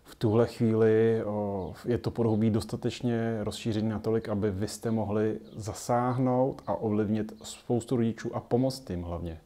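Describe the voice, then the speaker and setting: A man in his forties from the Czech Republic, talking unscripted to camera in a halting way with many hesitant pauses. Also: on the low side, steady tone, warm tone. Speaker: children's group founder and carer. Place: small colourful indoor children's playroom.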